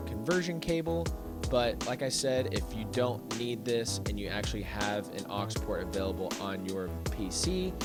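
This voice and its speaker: A man giving an instructional tech tutorial, explaining things step by step. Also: fairly low pitch. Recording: music behind the voice. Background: background music.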